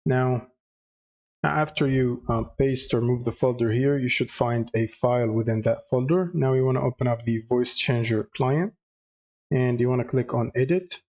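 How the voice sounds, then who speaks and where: Man walking through a software tutorial. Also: medium pitch, moderate pace. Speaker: tutorial presenter. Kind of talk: step-by-step software tutorial narration.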